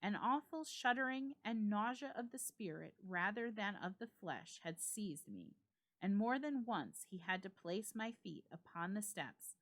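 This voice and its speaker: A woman storyteller giving a suspenseful, expressive dramatic reading of a ghost story with deliberate phrasing. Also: medium pitch. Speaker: storyteller, library reader.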